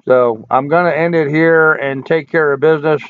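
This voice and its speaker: An older man hosting a casual live stream, hoarse from hours of talk, folksy and rambling as he answers chat comments. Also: a little high, steady tone, moderate pace, no echo. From United States. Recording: dry, tinny phone-like audio.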